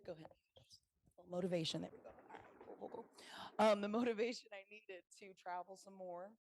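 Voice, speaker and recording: A woman in her late twenties with a raspy, strained voice, giving a chatty, self-deprecating prepared speech about her travels. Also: medium pitch, a little mellow. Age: late 20s. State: voice strained from singing. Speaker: club speaker. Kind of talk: prepared personal story speech.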